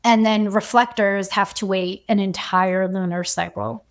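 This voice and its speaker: A woman human design coach talking as a podcast guest, chatty and relaxed, and she often says "like.". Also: medium pitch, a little slow, creaky, smooth. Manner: chatty, fills her speech with "like". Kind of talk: casual podcast conversation.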